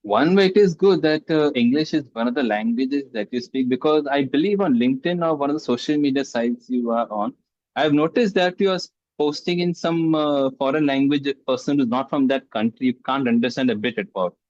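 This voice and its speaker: A man hosting a casual interview, speaking haltingly and repeating phrases as he asks questions. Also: medium pitch, darkish tone, no room sound. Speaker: interview host. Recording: dull, dry sound.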